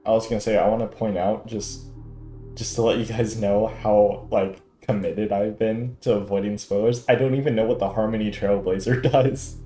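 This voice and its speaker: A man's voice: fairly low pitch, steady tone, moderate pace, mellow. A man giving casual, chatty, rambling live commentary as a game streamer. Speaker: game livestreamer. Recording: roomy mic, faint game music.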